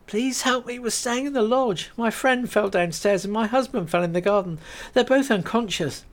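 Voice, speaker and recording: A man's voice: high voice, normal speed, voice pushed up. A man voicing a young woman, pleading urgently for help in one scene and chatting eagerly in another. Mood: panicked. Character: frightened young woman, later eager shop owner.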